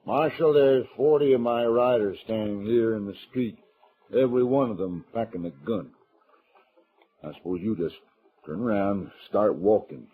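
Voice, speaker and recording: A man's voice: fairly low pitch, normal speed, a little hiss or hum, lightly acted. An actor playing a powerful, stubborn older rancher in an old-time western radio drama, folksy and bullying as he cajoles and threatens. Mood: defiant, threatening. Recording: muffled, narrow-band old radio recording.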